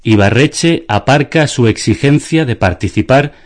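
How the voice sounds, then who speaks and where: An actor playing a deadpan, pedantic philosopher of the absurd in a radio theatre dialogue. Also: male voice, mid-range voice, a little slow, lightly acted. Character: deadpan absurd philosopher musing over news headlines.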